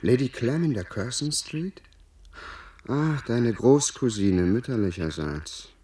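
Insistent, husky, insinuating tempter. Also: male voice, mid-range voice, lively intonation, slow, warm tone, far from the mic. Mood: persuasive, approving. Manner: persuasive, insinuating, theatrical. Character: smooth-talking, insistent inner tempter urging a young lord to duty. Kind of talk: German radio play acting.

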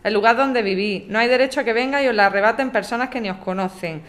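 An actress plays a resolute woman organiser in an audio drama. Her voice sits a little high, and she speaks in firm, declarative sentences. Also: fast, a bit bright, close to an everyday voice. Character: resolute female housing activist briefing residents. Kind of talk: scripted audio drama acting.

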